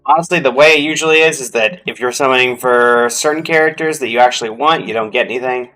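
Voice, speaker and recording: A man's voice: mid-range voice, fast talker, bright, forceful tone, faint music behind, poor audio. A man giving casual gameplay commentary as a streamer, thinking aloud as he goes. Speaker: game streamer.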